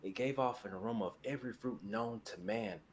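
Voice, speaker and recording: A man's voice: lowish voice, fairly quick, slight background noise. A young man reading scripted dramatic fantasy narration for a livestream. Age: young adult.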